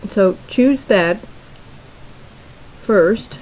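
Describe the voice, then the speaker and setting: A middle-aged woman hosting a software tutorial, explaining each step plainly and slowly with frequent fillers. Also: mid-range voice, a little hiss or hum, rough recording. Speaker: tutorial host. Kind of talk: screen-recorded software tutorial.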